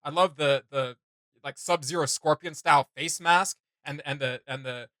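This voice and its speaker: A man's voice: on the high side, moderate pace, a bit bright, dry recording, clean studio sound. A man hosting a reaction video with casual, unscripted commentary, rambling through his thoughts in slangy, enthusiastic fan talk.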